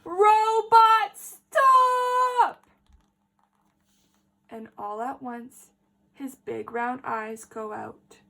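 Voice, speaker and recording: High-voiced woman teacher reading slowly. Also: vocal fry, very noisy. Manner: animated, emphatic, addressing children directly. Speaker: teacher reading a picture book to children. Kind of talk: expressive storybook read-aloud with questions for young children.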